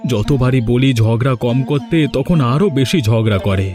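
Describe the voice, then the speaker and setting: A man playing a weary but gently wily village family man in a cartoon, talking in a plain conversational way. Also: medium pitch, moderate pace, soft background music, lightly acted. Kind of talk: cartoon dubbing.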